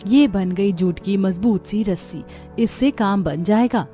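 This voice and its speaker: A woman's voice: medium pitch, unhurried, warm tone, faint music behind, natural voice. A woman voicing a resourceful, cheerful young daughter-in-law in a cartoon story, eager and respectful. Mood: eager, helpful. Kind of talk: Hindi cartoon story dialogue.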